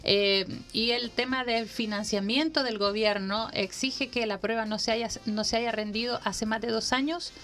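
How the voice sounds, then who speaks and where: A woman from Honduras with a fairly high voice speaks as an admissions coordinator in a radio studio. She explains things step by step, measured and patient, pausing on fillers and restarting her phrases. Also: fairly even intonation, fairly bright tone, faint music behind. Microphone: radio studio microphone.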